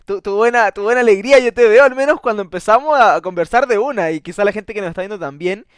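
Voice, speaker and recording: A man's voice: high voice, fast talker, clear, resonant tone, no room sound, studio quality. A man hosting a radio interview, chatty and easygoing, often stumbling and restarting while tacking on questions like "right?". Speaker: radio show host. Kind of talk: casual interview.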